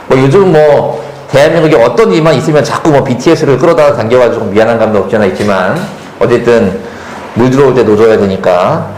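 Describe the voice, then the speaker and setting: A man at a mid-range pitch moderates a panel talk in an echoey event hall, with a faint hiss under his voice. He keeps it relaxed and jokey, leaning on fillers and steady affirming hums.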